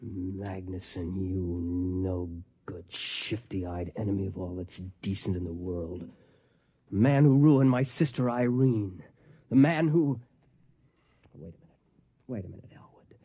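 A man's voice: sits low, unhurried, dark tone, breathy edge. A man playing a sardonic, unhinged would-be killer who swings between muttering and outbursts in a 1950s radio drama. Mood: seething, then muttering, agitated. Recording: dull, lo-fi 1950s radio recording.

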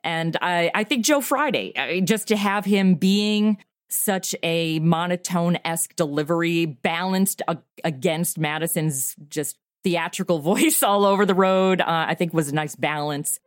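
A woman gives a casual interview as a podcast creator. Her voice is on the low side, and the sound is dry, with no room echo. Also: a little slow.

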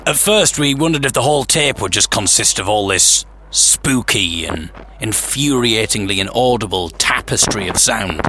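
A man hosting a podcast, narrating a scripted story with theatrical suspense, pauses and wry humour. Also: fairly low pitch, animated, noisy background. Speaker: podcast host. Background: music.

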